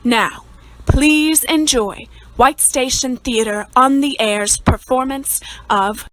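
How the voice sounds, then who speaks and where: A woman's voice: high voice, takes it slowly, noisy background. A woman announcer giving a scripted, theatrical introduction in the style of old-time radio.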